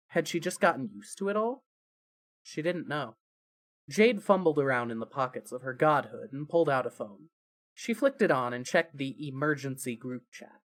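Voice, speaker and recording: A narrator reading scripted fan fiction as a dramatic story, with deliberate pauses. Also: mid-range voice.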